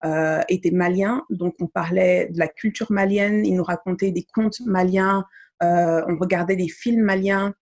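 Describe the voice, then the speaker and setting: A woman's voice: lowish voice, steady tone, a little slow, a little mellow. A young woman from Mali, a podcast guest talking casually and thoughtfully, with many hesitations. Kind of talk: casual interview chat.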